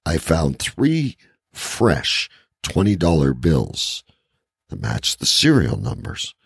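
A man's voice: extremely low pitch, huge pitch swings, slow pace, gravelly, breathy edge, no room sound, clean studio sound. A man co-hosting a podcast in casual banter and letter reading, with a blunt, profane way of talking. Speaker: podcast co-host.

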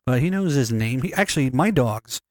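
A man's voice: medium pitch, animated, moderate pace, no echo. Man, podcast co-host, rambling, swearing, dry close sound. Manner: rambling, profane, many restarts. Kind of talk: casual chat.

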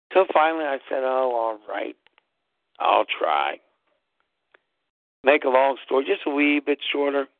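A man's voice: medium pitch, creaky, dry recording. A man who hosts a talk show, telling a story in an emphatic way, spelling words out and repeating himself for stress. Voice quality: loud voice. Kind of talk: casual storytelling monologue. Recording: tinny phone-like line, dry.

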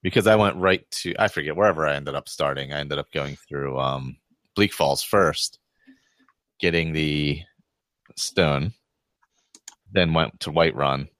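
A man's voice: very deep voice, unhurried, pauses often, fairly bright tone, dry recording. A man chatting casually as a podcast panelist, explaining things in a rambling, offhand way. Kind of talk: casual chat.

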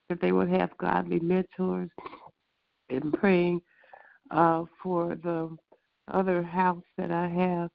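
A hoarse, congested speaker leading a morning prayer call with a measured, repeated litany and some fillers. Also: medium pitch, dry recording. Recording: tinny phone line, very gravelly.

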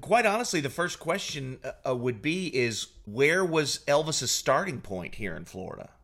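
A man co-hosting a podcast in casual chat, with a halting way of talking that repeats words. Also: medium pitch, sing-song, a bit bright. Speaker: podcast co-host, Elvis tribute artist.

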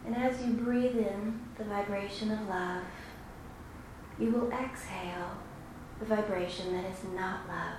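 A woman guiding a meditation in a slow, soothing, instructive way with long pauses. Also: medium pitch, steady tone. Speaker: meditation guide. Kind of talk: guided meditation. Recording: very echoey room, clearly noisy background.

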